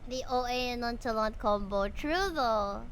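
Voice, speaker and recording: A woman's voice: fairly high pitch, a little slow. A woman with a Filipino accent, a native Bisaya speaker, chatting playfully on a live game stream and sometimes reading dialogue aloud. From Philippines. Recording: noisy live stream, game audio behind. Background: game sound and voices.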